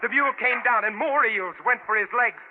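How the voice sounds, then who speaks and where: A male actor playing a tense stranded adventurer, telling his jungle ordeal in a dramatic, deliberate old-time radio drama style. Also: very high-pitched, background noise. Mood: breathless dread. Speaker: actor narrating and playing lead. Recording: tinny vintage radio broadcast with music behind.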